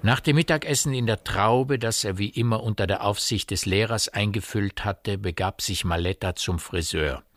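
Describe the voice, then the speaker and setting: A man's voice: on the low side, moderate pace, few pauses, no room sound. A man narrating a literary radio play as a scripted storyteller.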